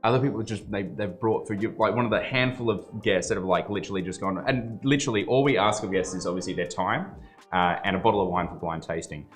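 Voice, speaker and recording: Low-voiced sweary podcast host. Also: male voice, fast, soft background music. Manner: rambling, sweary, emphatic with many fillers. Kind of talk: casual conversational interview.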